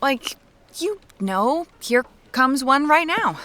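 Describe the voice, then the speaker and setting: An actress playing a brisk, evasive young woman amateur detective in an audio drama, dry and matter-of-fact. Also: high voice, sing-song, bright, forceful tone, full character voice. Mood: evasive, hurried.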